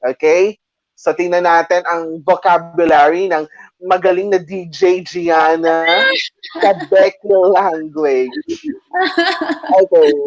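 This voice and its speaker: A man's voice: on the high side, level tone, takes it slowly, highs cut off. A man hosting a casual live chat game, teasing his guest and talking with many fillers. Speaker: interviewer host.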